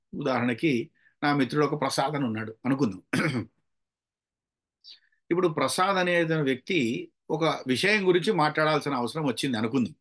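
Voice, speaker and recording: A man's voice: on the high side, a bit bright. A man giving an instructional public speaking talk, explaining with examples and putting questions to his listeners.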